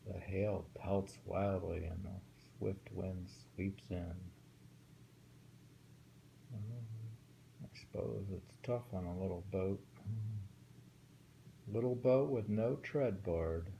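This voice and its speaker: A man's voice: deep voice, creaky, warm tone, a little hiss or hum, rough recording. A middle-aged man reading translated poems aloud and musing about them in a casual, thinking-aloud way, often rereading lines. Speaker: poetry reader.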